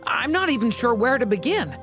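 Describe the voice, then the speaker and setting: A woman's voice: a little high, very animated, brisk, a bit bright, faint music behind. A woman voicing a polite, curious and earnest girl in a video game.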